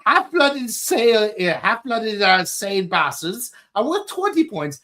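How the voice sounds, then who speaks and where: A man co-hosting a podcast in casual chat, with a halting delivery. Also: high voice, very animated, bright, forceful tone. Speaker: podcast co-host.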